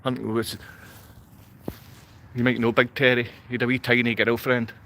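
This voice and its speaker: A man's voice: mid-range voice, little pitch movement, normal speed, bright, forceful tone, a little hiss or hum. A man from Glasgow with a Glaswegian Scottish accent, talking unscripted to livestream viewers in a rambling, repetitive way full of rhetorical questions. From Glasgow, Scotland.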